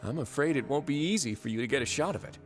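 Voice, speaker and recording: An actor playing a composed, courteous duke of a prison fortress in a video game, speaking in a polished, measured way with understated irony. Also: male voice, mid-range voice, lively intonation, faint music behind, lightly acted. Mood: calm, dry.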